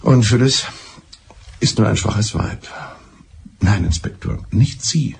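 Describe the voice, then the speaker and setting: An actor in a German radio drama playing a mild, evasive, smugly clever betrayed husband who talks in a deliberate, circuitous way. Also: male voice, lowish voice, creaky, darkish tone, slightly rough, exaggerated voice. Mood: resigned, earnest.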